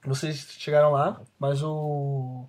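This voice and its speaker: A man's voice: on the high side, flat delivery, takes it slowly, a little mellow. A Brazilian man improvising narration as the game master of a tabletop role-playing game.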